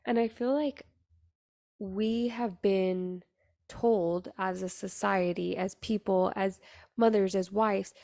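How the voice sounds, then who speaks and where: A young woman hosting her podcast, talking in a raspy voice with an earnest, chatty manner full of fillers. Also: mid-range voice, fairly even intonation, takes it slowly, dark tone, no echo, studio quality. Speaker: podcast host. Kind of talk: casual solo podcast monologue.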